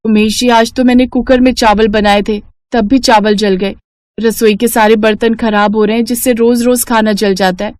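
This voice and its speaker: A woman's voice: fairly high pitch, steady tone, moderate pace, a little mellow, no room sound, clean studio sound, natural voice. A woman voicing an earnest, pleading young wife who has been wronged, in a moral story. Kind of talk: dramatized Hindi moral story.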